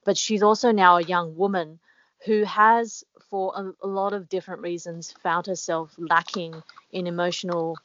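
A woman's voice: lowish voice, fairly even intonation, normal speed, fairly bright tone, dull audio. A woman guest being interviewed, talking reflectively with many fillers and restarts. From Australia. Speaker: author guest. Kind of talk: casual interview talk.

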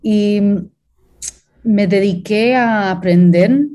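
A woman opera stage director raised in Pennsylvania, answering interview questions thoughtfully with many pauses and restarts. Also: mid-range voice, dark tone. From Pennsylvania, USA.

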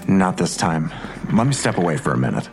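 A man's voice: low voice, sing-song, a little fast, soft background music. An actor playing a somber, guarded police detective and worried father in an audio drama, speaking tersely. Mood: businesslike.

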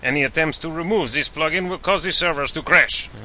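Villainous game spy, played by a man: pompous, sneering, cutting bright tone, light background noise. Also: a little high, fairly quick. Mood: imperious. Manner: pompous, theatrical, scornful. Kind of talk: scripted comedy skit. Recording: noisy hum behind the voice.

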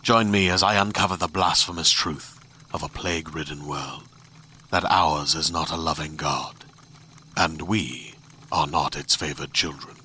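An actor playing a grave former monk and medical investigator, solemn and ominous, in a dramatic trailer. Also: male voice, a bass voice, steady tone, measured pace, pushed and bright, slight background noise, heavily acted. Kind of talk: dramatic audio fiction trailer.

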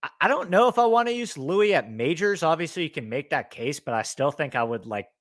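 Animated male golf analyst. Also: fairly high pitch, brisk, dry recording, clean studio sound. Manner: animated, talks through picks with fillers. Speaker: podcast co-host, golf analyst. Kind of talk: casual sports betting chat.

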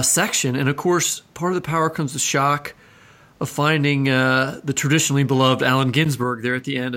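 A man co-hosting an interview podcast, speaking with careful, slightly halting phrasing. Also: mid-range voice, a bit bright. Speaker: podcast co-host. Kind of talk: interview commentary.

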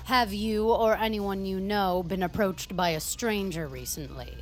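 A woman's voice: mid-range voice, unhurried, slight background noise, natural voice. Rigid checkpoint officer played by a woman: medium register, authoritarian, formal interrogation delivery for audio drama. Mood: official. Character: stern, rigid female checkpoint officer giving orders.

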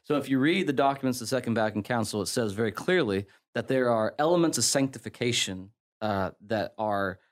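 English Midlands priest, middle-aged, loose chatty explainer, restarts a lot. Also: male voice, medium pitch, lively intonation, a little fast, hardly any pauses. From England. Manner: chatty, many fillers and restarts, laughs easily. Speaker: priest guest. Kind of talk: conversational explanation.

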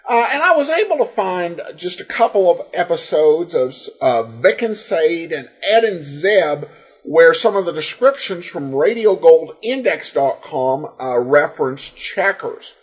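A man hosting a podcast, giving unscripted commentary and listener feedback in a halting way full of ums and uhs. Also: fairly high pitch, sing-song. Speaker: podcast host.

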